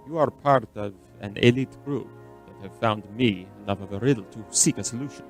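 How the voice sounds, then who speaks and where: An actor in a radio drama playing a weary, secretive, centuries-old immortal man who speaks in formal, measured, eloquent phrases. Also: on the low side. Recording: orchestral film music underneath.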